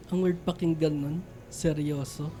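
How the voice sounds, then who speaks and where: A man's voice: on the high side, fairly even intonation, slow pace, warm tone. An actor playing an earnest, anxious, lovestruck young man in a radio drama, with a Filipino accent. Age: young adult.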